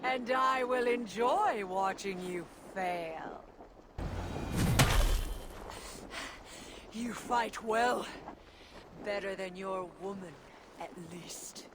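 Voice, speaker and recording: An actress playing a cruel, gloating fire-giant villainess in a video game, taunting and theatrical. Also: female voice, takes it slowly, natural voice. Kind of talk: dramatic video game acting. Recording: loud rumbling background noise.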